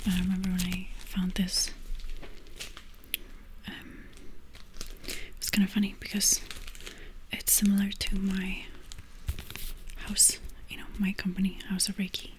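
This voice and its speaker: Woman healer whispering slowly through an ASMR session, mid-pitched, loose and chatty with lots of fillers. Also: monotone, low-quality recording. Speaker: energy healer and ASMR creator.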